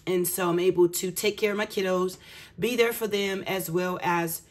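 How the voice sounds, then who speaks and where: A woman budgeting vlogger chatting casually and explaining things to viewers in a folksy, self-deprecating way, her voice slightly cracked and raspy. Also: lowish voice, fairly even intonation, moderate pace.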